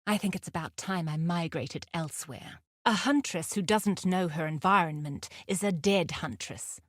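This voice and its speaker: A woman playing a fierce, guarded huntress in a video game, speaking in a deliberate, terse and solemn way. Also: on the low side, close to an everyday voice. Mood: guarded, persuasive. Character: fierce, guarded huntress outlaw, wry nature lover. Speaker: video game character voice actor. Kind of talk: scripted game character lines.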